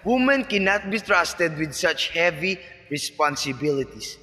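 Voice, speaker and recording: Guy acting as snobby bullying ceo dad in a radio play, sharp highish voice, echoey hall. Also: animated, unhurried. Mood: scornful. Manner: pompous, bullying, contemptuous. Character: arrogant, wealthy middle-aged businessman and scornful father. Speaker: voice actor. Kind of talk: amateur student radio drama acting. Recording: reverberant hall-like echo.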